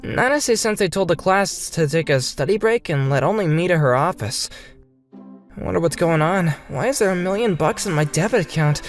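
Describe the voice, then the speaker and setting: A woman's voice: lowish voice, lively intonation, a little fast, faint music behind, close to an everyday voice. A woman voicing an earnest, bewildered fifth-grade boy who narrates his own story in a manga dub. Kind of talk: scripted first-person narration in a manga dub.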